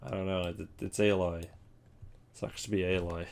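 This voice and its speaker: A man's voice: sits low, flat delivery, moderate pace, vocal fry, darkish tone. A man hosting a casual ranking video with wry, offhand commentary. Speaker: video host.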